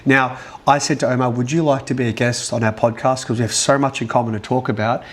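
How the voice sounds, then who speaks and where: A man's voice: mid-range voice, fairly even intonation, fast. A man hosting a podcast interview, upbeat and jokey, chatting casually with his guest. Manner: upbeat, jokey, lots of "yeah". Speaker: podcast host.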